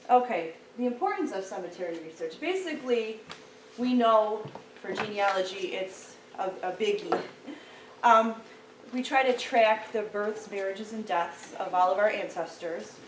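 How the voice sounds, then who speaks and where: A soft-spoken woman gives a friendly lecture to a local group. Her mid-pitched voice carries from a distance across a roomy, echoing space. A faint hiss sits underneath her voice. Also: animated, normal speed. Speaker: guest speaker, local historian. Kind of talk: public lecture. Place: meeting room. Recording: echoey room, mic from far off.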